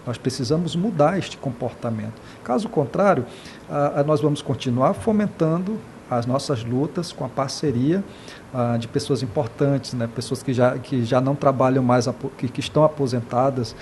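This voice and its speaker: An earnest man from Brazil speaks in an interview at a mid pitch. He talks with passion, often stops to restart, and keeps adding tag questions. A faint noise runs under the recording. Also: normal speed, a little mellow. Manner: impassioned, many hesitations and repeated "né".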